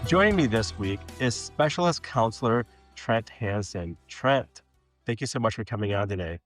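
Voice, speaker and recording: A man's voice: fairly low pitch, lively intonation, moderate pace, soft background music. A man, hosting a podcast interview with friendly, conversational questions. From Minnesota. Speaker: podcast host.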